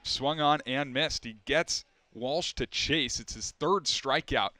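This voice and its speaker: A young man calling a baseball game play-by-play, measured and steady, lifting his voice for the big plays. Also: medium pitch, no room sound. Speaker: play-by-play announcer.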